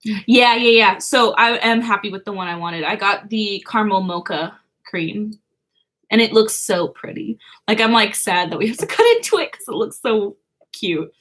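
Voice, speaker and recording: A woman's voice: mid-range voice, normal speed, a bit bright. A young woman hosting a birthday livestream from home, chatting casually and giggling, with a lot of "like". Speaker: livestream host. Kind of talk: casual chat with viewers. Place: home, indoors.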